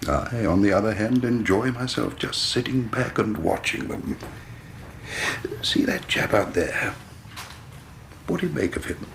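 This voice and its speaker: An actor playing a genial, indolent and superior British gentleman sleuth in a period radio drama, urbane and self-assured. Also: lowish voice, lively intonation, unhurried, creaky, pauses often, slight background noise.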